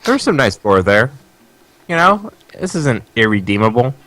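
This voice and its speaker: A man's voice: mid-range voice, sing-song, moderate pace, pushed and bright, rough recording. A man giving casual, offhand, teasing commentary as co-host of a gaming stream. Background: video game music.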